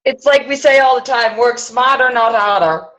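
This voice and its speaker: A woman's voice: on the high side, normal speed, fairly bright tone, poor audio. Frank woman in casual team chat. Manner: frank, plain-spoken, determined. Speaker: sales team member.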